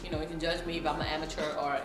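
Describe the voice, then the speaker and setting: A woman's voice: low-pitched, level tone, very fast. A young woman from South Sudan chatting casually to camera as a vlogger while she eats, often checking in with her viewers. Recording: roomy room with faint background music.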